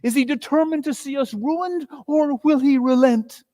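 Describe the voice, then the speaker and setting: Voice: high-pitched, normal speed, dark tone, pitched above the performer's own voice, close to an everyday voice. A performer voicing an anxious, eager young wife and mother with urgent questioning.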